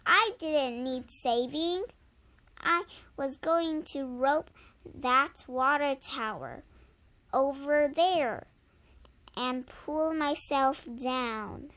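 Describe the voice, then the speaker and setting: A woman's voice: sits high, drawn-out words, fairly bright tone, exaggerated voice. A young girl reading the part of a feisty, boastful cowgirl in a tall tale, with folksy, defiant Western talk.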